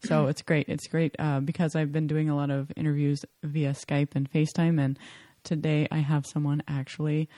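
A woman's voice: low voice, little pitch movement, normal speed, hardly any pauses, dark tone, dry recording. A woman hosting an interview podcast, chatting casually, rambling and correcting herself as she goes. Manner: rambling, self-correcting, laughing along. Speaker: podcast host.

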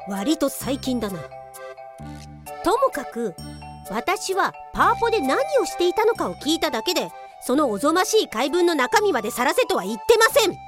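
A woman playing an exasperated, sharp-tongued office police officer in a comedy radio drama, with blunt scolding retorts. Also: high voice, sing-song, normal speed, music in the background. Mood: disgusted.

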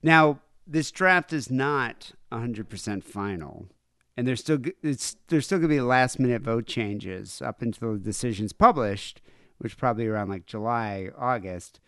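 A young man co-hosting a podcast, chatting sarcastically about politics, swearing and halting with fillers. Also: medium pitch, fairly bright tone, clean tone, no echo, clean studio sound. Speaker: podcast co-host. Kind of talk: casual political chat.

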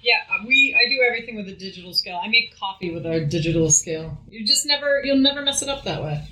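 A woman's voice: medium pitch, expressive, fast talker, sharp tone. Distant, roomy-sounding woman chatting while baking. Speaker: food radio show co-host, home baker. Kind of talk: casual cooking demonstration chat. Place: indoors in a home kitchen. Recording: roomy kitchen, distant from mic.

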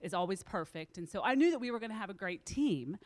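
A woman's voice: mid-range voice, expressive, quick pace. A woman nervously sharing a testimony with a church audience, close to tears and checking the microphone. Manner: nervous and emotional, fusses with the mic. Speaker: mission team member. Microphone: handheld microphone.

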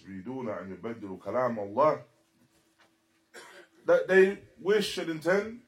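A man giving a religious lecture as a teacher, speaking deliberately and emphatically in short, repeated phrases and switching into Arabic quotations. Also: mid-range voice, poor audio. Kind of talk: religious lecture explaining a text.

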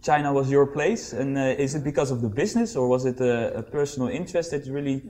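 A man's voice: medium pitch, fast, warm tone. A man, hosting a conversational interview and feeling his way through his questions. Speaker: podcast host, interviewer.

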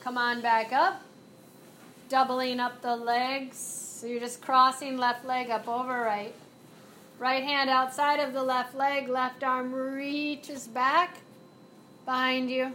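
A woman with a fairly high voice leads a chair yoga class at a very slow, soothing pace, giving gentle cues for each movement and breath. A faint hum of room noise sits behind her in the live classroom. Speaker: yoga and mindfulness instructor. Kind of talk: guided chair yoga instruction.

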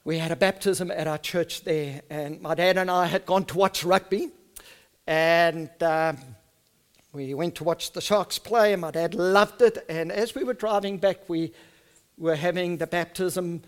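A middle-aged male pastor whose first language is Afrikaans, with a South African Afrikaans accent, preaching earnestly and moving between hushed, soft stretches and loud, emphatic ones. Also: a little high, measured pace. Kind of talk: sermon with personal storytelling.

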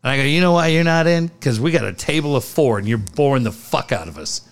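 A middle-aged man co-hosting a casual podcast, telling profane, animated stories. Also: medium pitch, quick pace, clear, resonant tone. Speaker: podcast co-host.

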